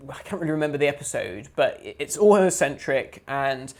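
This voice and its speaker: Male podcast co-host, casual animated recap, acts out characters' lines. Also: medium pitch, fairly quick. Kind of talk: casual chat.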